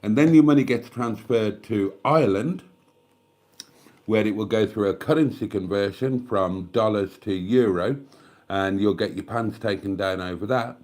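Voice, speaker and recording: An older British man rambles on a home livestream, self-mocking and fond of calling his audience darlings. His voice sits fairly low and sounds stuffed up with a cold, and he speaks into a desk microphone. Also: moderate pace, a little mellow. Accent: British English. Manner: chatty and self-mocking, calls viewers darlings. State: congested with a cold, coughing. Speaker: YouTube livestream host. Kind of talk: casual rambling livestream chat. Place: at home, near the fridge.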